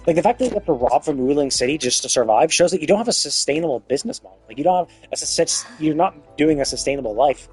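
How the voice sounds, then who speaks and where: A man's voice: fairly high pitch, expressive, quick pace, few pauses, a little mellow, slightly rough, soft background music. A man livestreaming casual commentary on a game's story in an exasperated way, swearing freely. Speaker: game livestreamer.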